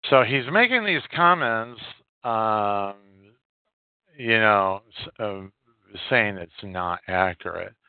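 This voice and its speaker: A man hosting a podcast, giving casual news commentary in a halting, hesitant way with pauses. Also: fairly low pitch, lively intonation, smooth, no room sound. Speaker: podcast host. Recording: narrow phone-like audio, dry.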